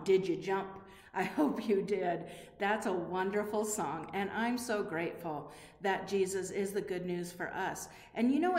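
Middle aged lady doing a kids bible lesson, talks slow and simple, asks lots of questions, really echoey room. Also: medium pitch. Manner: slow, simple explaining with frequent questions to the listener. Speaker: children's Bible story teacher. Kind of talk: children's Bible lesson, addressing young viewers. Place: indoor room with corrugated metal wall. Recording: echoey room with long reverb.

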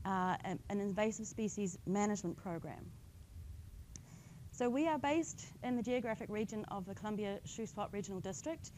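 A woman with a slightly croaky voice giving a friendly public talk on invasive species, explaining plainly with light humour. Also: mid-range voice, a little mellow, a little hiss or hum, poor audio. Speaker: invasive species outreach coordinator. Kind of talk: public science talk with slides.

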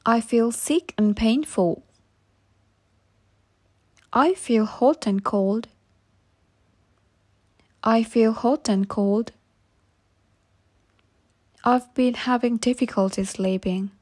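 A woman with a fairly high voice reads practice sentences for English learners. She speaks very slowly and carefully and says every phrase twice so listeners can repeat it. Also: darkish tone. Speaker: English teacher.

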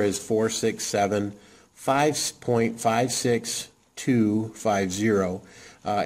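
A man with a low, mellow voice explains things step by step in an unscripted how-to demo. He talks haltingly, padding his phrases with many uh and um fillers, as he recalls each point off the cuff. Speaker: radio hobbyist demonstrating programming. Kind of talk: casual how-to walkthrough. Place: indoor desk, off camera.

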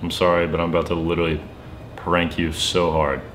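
A young man chatting casually and excitably to camera in slangy, playfully dramatic speech in a bedroom. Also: deep voice, fairly quick, background noise. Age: young adult. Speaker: vlogger making prank calls. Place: bedroom, indoors.

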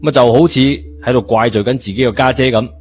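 A man's voice: medium pitch, a little fast, a little mellow. A man with a Cantonese accent narrating a scripted story in a colloquial style, as an audiobook reader. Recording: muffled narration over music bed.

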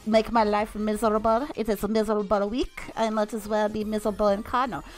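A woman's voice: mid-range voice, little pitch movement, fairly quick, soft background music. A young woman streaming a mobile shooter, chatting casually with viewers and laughing often. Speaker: game streamer. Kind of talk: casual live-stream chat while playing.

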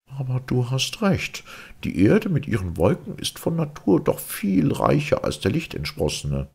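A man puts on an earnest, impressionable male traveller in a storybook tale. The voice is raised far above the narrator's register and swings expressively, with a husky grain. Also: mid-range voice, wildly swooping pitch, unhurried, darkish tone, voice pushed up, heavily acted. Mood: wistful. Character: earnest Swabian male space traveller, awed and easily startled.

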